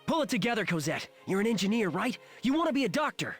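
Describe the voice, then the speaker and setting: Woman acting plucky, expressive young female soldier. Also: medium pitch, fast talker, faint music behind, close to an everyday voice. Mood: urgent, insistent. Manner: urging, plucky, heartfelt. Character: bold, reckless young female soldier, loyal and determined. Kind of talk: dramatic video game voice acting.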